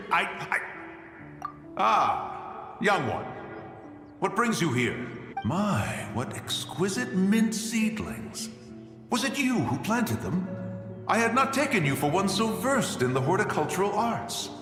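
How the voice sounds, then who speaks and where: A man's voice: high-pitched, big pitch swings, slow, roomy sound, big-room sound, music in the background, heavily acted. A man playing a genteel, fussy immortal in a game, with courtly and effusive flowery speech. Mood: delighted. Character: genteel, fussy male immortal adeptus with a love of gardening. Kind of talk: scripted game voice acting.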